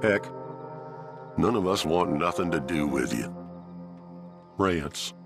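A man's voice: low voice, lively intonation, slow pace. A man reading written notices and letters aloud. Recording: faint music behind the voice.

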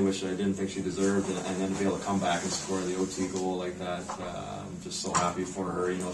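Low-voiced, flat-toned North American man, distant mic. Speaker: head ice hockey coach. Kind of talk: post-game press conference answers. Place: indoor press room in front of a backdrop. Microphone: camera microphone at a distance. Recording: roomy, distant mic, clear background noise.

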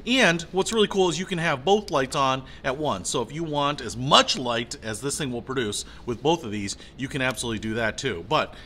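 A man's voice: mid-range voice, a little fast, few pauses, pushed and bright, slight background noise. A middle-aged American man giving a casual, chatty, enthusiastic product demonstration. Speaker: product review host.

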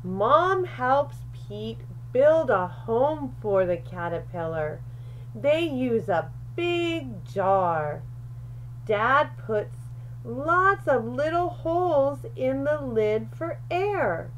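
Lady reading a kids story really slowly, super lively up and down voice, bit of noise behind her. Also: high voice, highs cut off. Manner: animated, deliberate, with questions and asides to young listeners. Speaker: read-aloud storyteller for young children. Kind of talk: expressive picture-book read-aloud.

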